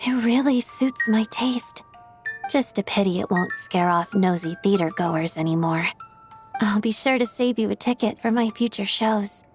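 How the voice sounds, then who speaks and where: A woman's voice: mid-range voice, moderate pace, close to an everyday voice. Game diva, female, played by a woman: poised, aloof, dryly condescending, mid-range voice over faint music. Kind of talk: video game voice acting.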